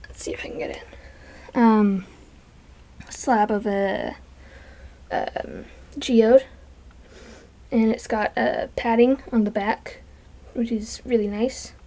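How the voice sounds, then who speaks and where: Soft-voiced congested young woman. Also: a little high, very animated, slow pace, vocal fry, long pauses. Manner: chatty, rambling, soft, drops into whispers. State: congested, sniffing from allergies. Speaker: vlogger. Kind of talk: casual show-and-tell vlog. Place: bedroom. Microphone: handheld camera.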